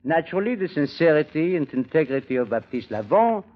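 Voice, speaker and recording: A man's voice: a little high, very animated, fairly quick. A man playing a pompous, courtly French crook with a French accent and hesitant, mock-polite menace in vintage radio drama. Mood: oily, insistent. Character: suave, menacing French crook, pompously proclaiming his sincerity. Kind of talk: 1940s-50s radio drama acting. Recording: muffled vintage radio broadcast.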